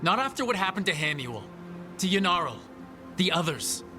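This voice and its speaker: An actor voicing a sceptical adventuring hero in a video game, direct and questioning with a wry edge. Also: male voice, sits high, sing-song, moderate pace. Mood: challenging. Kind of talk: video game dialogue acting. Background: music.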